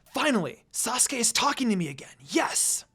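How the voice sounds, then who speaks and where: A woman reading a fanfic aloud and voicing an eager, excitable teenage girl ninja in a raised, bright way. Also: on the high side, normal speed, breathy edge, voice pushed up, exaggerated voice. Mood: excited.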